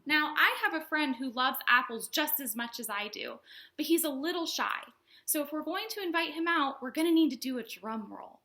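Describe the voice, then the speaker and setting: A woman leads a storytime lesson for little children in a high voice that swings widely up and down. Her tone is bright and forward. She explains things simply, in a sing-song way, and keeps putting questions to her young viewers. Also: expressive, moderate pace. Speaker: children's librarian, storytime host.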